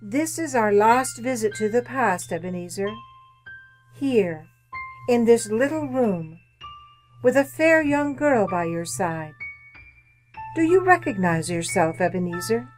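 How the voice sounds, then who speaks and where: A woman playing a solemn, probing spirit guide in old-time radio drama style, questioning and commanding with deliberate delivery. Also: on the high side, lively intonation, faint music behind. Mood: grave, insistent.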